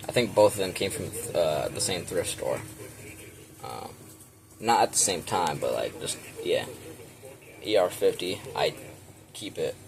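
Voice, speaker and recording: A man's voice: low voice, noisy background. A young man casually showing his radio collection, rambling offhand with fillers and self-corrections, filming as he goes. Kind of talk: casual off-the-cuff show-and-tell. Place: small cluttered room full of shelves. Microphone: handheld camera, filming point of view.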